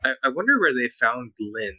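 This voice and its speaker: A man's voice: mid-range voice, very animated, normal speed, sharp tone, dry recording, lo-fi sound. A man on a podcast panel, musing aloud in a casual chat.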